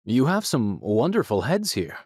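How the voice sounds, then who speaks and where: An actor in an audio drama playing an earnest, curious big-game hunter, speaking politely and directly as a guest. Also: male voice, mid-range voice, wildly swooping pitch, unhurried, darkish tone, husky, no echo, clean studio sound, full character voice. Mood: polite, admiring.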